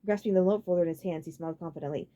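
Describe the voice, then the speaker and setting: A woman reading a story aloud as an audiobook narrator, in an expressive, dramatic style. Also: lowish voice, racing, muffled recording.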